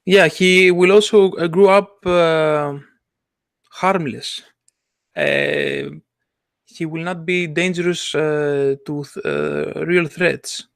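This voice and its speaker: Guy, slightly high gravelly voice, hesitant podcast chat, super dry sound. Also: fairly even intonation, dry recording. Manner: halting, searching for words, non-native grammar. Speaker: podcast co-host. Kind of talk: casual discussion.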